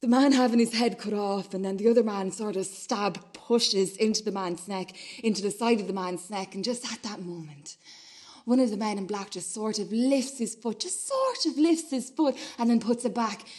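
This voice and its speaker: A woman playing a rambling, transfixed young woman in a radio play monologue, confessing in a halting, repetitive, conversational way. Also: medium pitch, darkish tone, natural voice. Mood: agitated, dazed.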